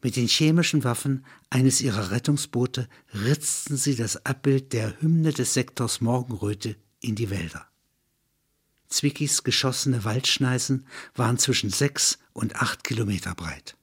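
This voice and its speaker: A man's voice: mid-range voice, expressive, a little slow, husky. A man narrating a satirical science fiction radio play as a sober chronicler.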